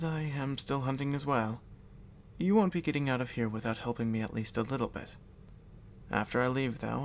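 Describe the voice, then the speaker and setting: A male voice actor plays a predatory vampire noble in an intimate ASMR audio drama. He has a medium-pitched male voice and speaks with measured courtesy, reassuring yet condescending. A light layer of background noise sits under the voice. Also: natural voice. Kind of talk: ASMR audio roleplay addressed to the listener. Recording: audible background noise and ambience.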